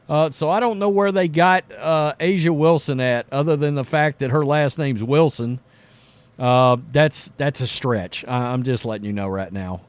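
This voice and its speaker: A man's voice: high voice, clear, resonant tone, dry recording. A man giving casual, opinionated sports commentary as a show host, talking in a blunt, informal way. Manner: blunt, informal, mildly profane.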